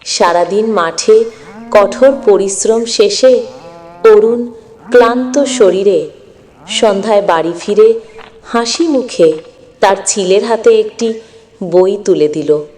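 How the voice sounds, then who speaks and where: A woman's voice: mid-range voice, takes it slowly, pauses often, dark tone. A woman narrating a scripted moral story as the storyteller. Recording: long echoing reverb.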